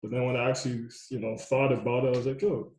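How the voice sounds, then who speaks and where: A man's voice: mid-range voice, flat delivery, quick pace, darkish tone, roomy sound, poor audio. A young man coaching youth basketball, talking casually in a loose, repetitive way. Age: young adult. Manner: loose, repetitive, says "you know what I mean" a lot. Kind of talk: casual podcast chat.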